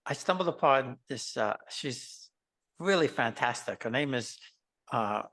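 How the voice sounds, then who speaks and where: A man hosts a casual interview conversation. He speaks haltingly and often restarts his sentences while he works out what to ask. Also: mid-range voice, expressive, fairly bright tone. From Queens, New York.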